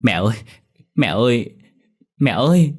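A man's voice: mid-range voice, big pitch swings, measured pace, husky, pitched above the performer's own voice. A man voicing a distraught young boy in a story, wailing and pleading for his mother. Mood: tearful, panicked. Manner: wailing, pleading, stammering when shocked.

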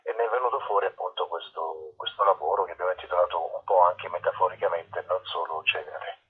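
A man being interviewed as a theatre director, speaking in a halting, reflective way over a muffled phone line. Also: deep voice, steady tone, husky. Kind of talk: spontaneous radio interview by phone.

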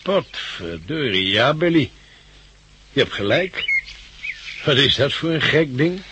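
A man's voice: mid-range voice, sing-song, slow pace, noisy background. An actor playing a practical, grumbling but fond father in a 1960s Dutch radio drama. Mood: puzzled.

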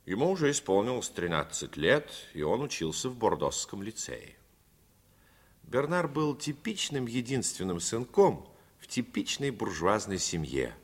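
A man narrating a 1950s radio drama as a storyteller, phrasing expressively and deliberately with dramatic pauses. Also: on the low side, fairly bright tone. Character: male storyteller fond of the coast and its people. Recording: 1950s radio recording, faint music behind.

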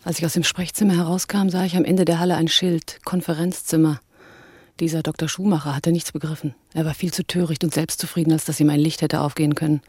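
An actress playing a criminal's sceptical female accomplice in a radio crime drama, husky and measured, with pointed questions. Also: deep voice, little pitch movement, darkish tone, no room sound. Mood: reflective narration. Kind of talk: German radio crime drama acting and narration.

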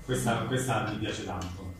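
A man's voice: on the low side, normal speed. A man, interviewing in a casual, appreciative way and quoting lines back. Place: echoing venue at a book presentation. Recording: very echoey room, distant mic, faint noise.

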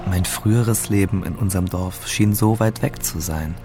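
A man's voice: low-pitched, moderate pace, background noise. An actor playing a grieving seventeen-year-old boy fleeing war, telling his story in a subdued, reflective radio drama monologue. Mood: wistful, sad.

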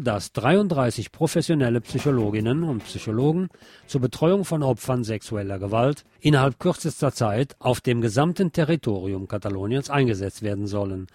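A man's voice: medium pitch, a little mellow, no room sound, clean studio sound. A man hosting a radio programme, reading scripted local news and adding his own commentary.

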